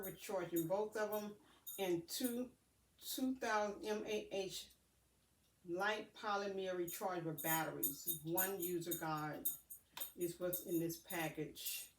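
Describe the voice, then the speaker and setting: Woman vlogging from an echoey room. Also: medium pitch. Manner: halting, addresses viewers as family. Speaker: vlogger showing purchases. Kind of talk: casual unboxing chat to camera. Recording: roomy echo, distant mic, faint noise.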